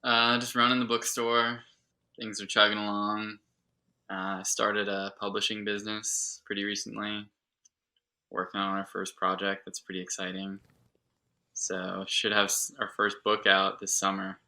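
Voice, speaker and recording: A man chats as a podcast guest, relaxed and a little hesitant. His low voice stays very flat in pitch and has a sharply bright, forceful tone. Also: a little slow. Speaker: guest, bookstore owner. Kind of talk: casual interview chat.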